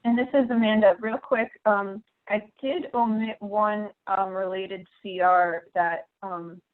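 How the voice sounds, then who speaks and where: A woman's voice: medium pitch, steady tone, a little slow. A woman giving an informal organizer's update to colleagues on a conference call. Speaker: meeting organizer. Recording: thin narrow phone-like conference line.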